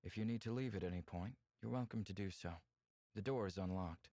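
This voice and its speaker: A man playing a reserved, candid alien lover in a visual novel, with measured, formal phrasing. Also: lowish voice, dark tone, no room sound, clean studio sound, lightly acted. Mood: polite, reserved. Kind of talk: visual novel character acting.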